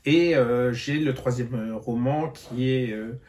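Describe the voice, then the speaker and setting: A tired man giving a relaxed reading update to his viewers, with a voice of ordinary pitch. He talks hesitantly, pausing often on fillers and restarting his sentences. The whole talk has a loose, casual vlog feel. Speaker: book vlogger.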